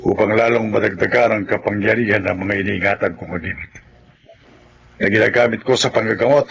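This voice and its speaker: A man playing a solemn, gravelly folk healer in a Tagalog horror radio drama, speaking gravely and with emphasis. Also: on the low side, a little fast, a bit bright, highs cut off, a little hiss or hum. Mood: grave, determined. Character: solemn male folk healer who battles evil creatures.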